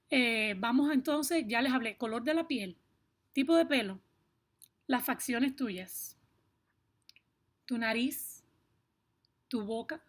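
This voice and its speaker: A woman with a Cuban accent explaining things to viewers in a personal, emphatic way, stressing points with deliberate repetition. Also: on the high side. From Cuba. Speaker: video blogger.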